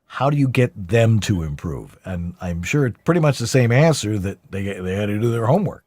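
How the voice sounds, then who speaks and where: A man with a lively, varied intonation hosts an interview show. His phrasing is casual and conversational, and the sound is dry with no room around it. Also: on the low side, fairly quick, no room sound. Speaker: talk show host, interviewer.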